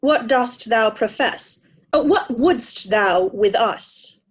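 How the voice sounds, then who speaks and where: A woman's voice: on the high side, animated, takes it slowly, breathy edge, full character voice. A woman playing an imperious, impatient old king in a readers' theatre Shakespeare play. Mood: brusque, probing. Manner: commanding, peremptory questioning.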